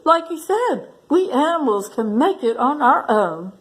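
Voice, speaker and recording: Small feisty storybook warthog, female performer. High, cheeky, scolding, animated. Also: unhurried. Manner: snappy, scolding.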